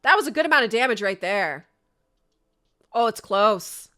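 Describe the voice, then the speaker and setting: A woman's voice: on the high side, animated, moderate pace, bright, forceful tone. A young woman casually commentating her gameplay to viewers, sniffly from a sinus infection, chatty and upbeat, recorded indoors on a desk mic. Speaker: gaming content creator.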